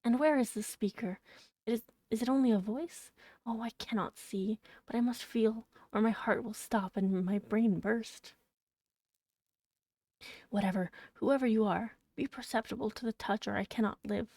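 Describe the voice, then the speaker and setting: A woman voicing a blind, tormented gentleman from a novel, imperious and desperately yearning in an impassioned delivery. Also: a little high, normal speed, dry recording, clean studio sound. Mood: anguished.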